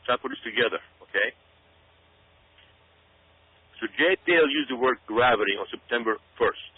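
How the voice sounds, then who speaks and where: A man's voice: mid-range voice, lively intonation, moderate pace, husky. A man giving unscripted talk-show commentary in an urgent, emphatic way, often stopping to check that listeners follow. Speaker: guest commentator. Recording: narrow, tinny phone-like radio sound.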